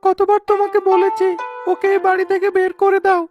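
A woman's voice: very high-pitched, completely flat delivery, a little fast, highs cut off, music in the background. A woman voicing a spoiled, petulant, mean young boy in a children's cartoon. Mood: spiteful.

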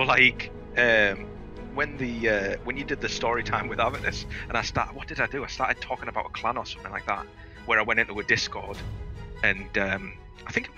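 A man's voice: mid-range voice, pushed and bright, highs cut off. A man telling stories and bantering over gaming voice chat in a sweary, theatrical, bombastic way. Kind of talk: animated casual gaming banter and storytelling.

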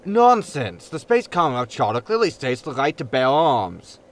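A man's voice: mid-range voice, very animated, moderate pace. A man playing a grumpy, impulsive spaceship captain in a sci-fi sitcom audio drama, blunt and exasperated. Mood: brash. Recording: loud background noise.

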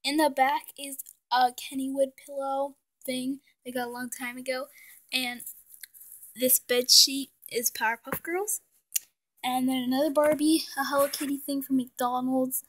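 A woman's voice: high voice, steady tone, measured pace. A woman casually showing her doll house at home, chatty with lots of fillers, sometimes sinking to a whisper, recorded on a handheld phone. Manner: chatty, many "like" fillers, drops to soft whispers at times. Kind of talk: casual show-and-tell narration. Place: indoors at home, near the dollhouse.

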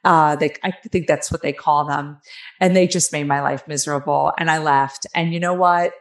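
Raspy, nasal New Jersey woman, lowish voice. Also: steady tone, normal speed. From New Jersey, United States. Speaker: interview guest, author. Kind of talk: candid personal storytelling in an interview. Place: small room at home, on a video call. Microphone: headset on a video call.

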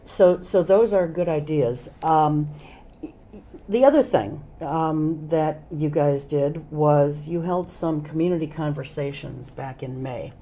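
An older woman hosting a local TV talk show, slightly hoarse, asking follow-up questions in a studio on a lapel mic. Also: sits low, slow pace, mellow. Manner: affirming, asks follow-up questions. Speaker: host. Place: TV studio, indoors.

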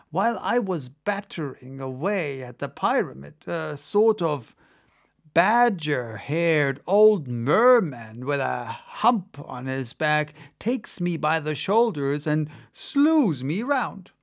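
A man playing an excitable second mate on a sailing ship, spinning a yarn in a dramatic audiobook reading. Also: fairly high pitch, slow pace, gravelly, full character voice. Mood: animated yarn-spinning. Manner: conversational, exclamatory storytelling.

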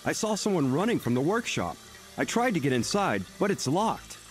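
A man's voice: a little high, big pitch swings, moderate pace. A man playing a worried, helpful friend in a video game, speaking anxiously and urgently.

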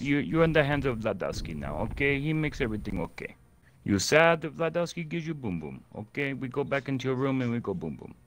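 A man's voice: medium pitch, natural voice. A man putting on a fake Russian accent as a boastful gamer who talks about himself in the third person, in broken English, over in-game voice chat. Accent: put-on Russian. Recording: game noise and gunfire behind.

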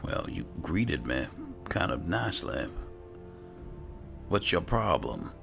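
A man's voice: sits low, sing-song, slow, gravelly, music in the background. A man doing in-character roleplay commentary over a video game, often muttering dryly to himself. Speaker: gamer roleplaying as an alchemist.

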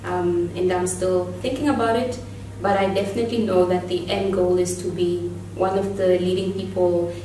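A woman's voice: lowish voice, steady tone, moderate pace, mellow, a little hiss or hum. A young adult woman from Namibia, a graduate giving a prepared testimonial to camera. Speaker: master's programme graduate. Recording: echoey room, distant mic, music behind.

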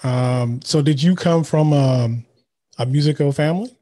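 A relaxed man hosts an interview podcast. He asks questions casually with plenty of filler sounds, speaking into a studio microphone. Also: a little high, unhurried. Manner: relaxed, many filler ums. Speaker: podcast host. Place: home studio. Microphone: studio mic with headphones.

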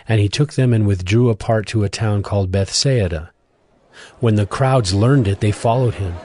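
A man with a fairly low voice reads scripted scripture narration. Music is clearly heard behind him. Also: mellow.

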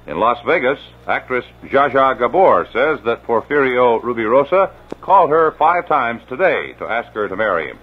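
Formal 1950s radio newscaster. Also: male voice, mid-range voice, very animated, breathy edge. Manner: measured, formal delivery. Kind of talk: 1950s radio news reading. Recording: dull old radio transcription.

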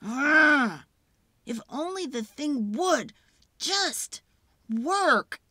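A woman's voice: sits high, lively intonation, extremely slow, pushed and bright, dry recording, studio quality, voice pushed up, full character voice. A woman voicing a frustrated, determined little girl inventor in a storybook, emphatic and exasperated. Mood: exasperated, straining.

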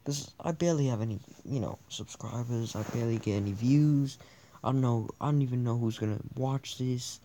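Young male gamer host, Italian first language, rambly and self-deprecating, handheld mic, mid pitch. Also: fairly quick, dark tone, a little hiss or hum. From born in Spain, raised in Italy, France, Britain and Germany. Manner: rambling, joking, self-deprecating, many restarts. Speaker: gaming channel host. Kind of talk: casual thank-you vlog over gameplay. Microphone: handheld mic over screen capture.

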